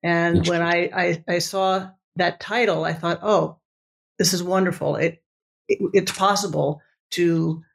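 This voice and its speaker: A woman whose first language is Italian, a professor speaking as a podcast guest, enthusiastic and halting with many restarts. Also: fairly low pitch, steady tone, creaky. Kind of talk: podcast interview.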